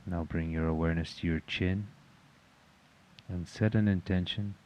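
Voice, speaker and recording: Very deep-voiced man guiding meditation. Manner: calm, measured, instructional. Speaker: meditation guide. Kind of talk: guided meditation.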